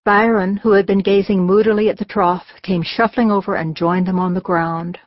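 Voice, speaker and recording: A woman's voice: mid-range voice, steady tone, moderate pace, no room sound, highs cut off. A woman narrating a scripted children's audiobook.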